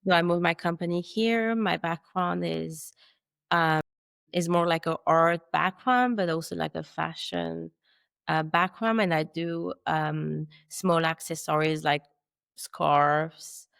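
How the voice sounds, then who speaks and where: Gravelly woman guest. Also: low-pitched, steady tone, slow pace, no echo, studio quality. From France. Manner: soft-spoken, hesitant, trailing off. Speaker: accessories designer, guest. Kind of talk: casual interview chat.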